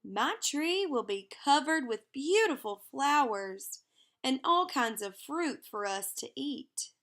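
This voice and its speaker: A woman voicing an eager, imaginative little girl from a children's storybook, gushing with excitement. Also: high-pitched, slow pace, pitched above the performer's own voice, full character voice. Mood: exuberant. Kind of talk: children's storybook character reading.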